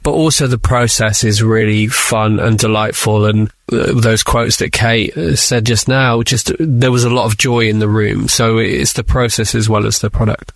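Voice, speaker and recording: A man's voice: fairly low pitch, steady tone, normal speed, creaky, hardly any pauses, no echo, studio quality. A man who works as a writer, answering interview questions conversationally and explaining creative work.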